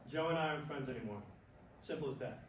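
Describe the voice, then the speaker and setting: A man's voice: medium pitch, fast, close to an everyday voice. A man acting a cocky, curt baseball star with a blunt, clipped delivery in a staged play reading. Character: cocky, curt male baseball star; also gruff father. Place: stage before a room, far microphone. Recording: echoey distant stage recording with noise.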